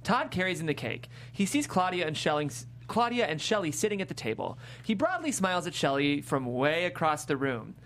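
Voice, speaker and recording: A woman's voice: low voice, sing-song, fairly quick, few pauses. A woman giving scripted narration, reading out stage directions at a table read. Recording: clear background noise and hum.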